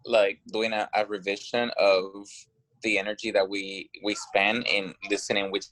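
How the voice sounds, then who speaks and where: Earnest man, low and dry. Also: flat delivery, moderate pace, a bit bright, no room sound, poor audio. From Puerto Rico. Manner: hesitant, many fillers and restarts, earnest. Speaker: guest, political candidate. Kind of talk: interview answers.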